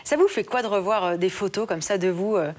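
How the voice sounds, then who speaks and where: A woman hosting a TV interview, asking questions in a lively, friendly way. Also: medium pitch, brisk. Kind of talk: TV talk show interview. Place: TV studio.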